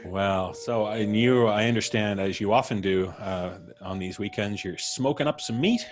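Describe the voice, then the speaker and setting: A man with a fairly low voice acts as host, speaking casually and with playful wryness. Music plays clearly behind his introductions and banter. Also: moderate pace. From Canada. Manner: jovial, playfully wry.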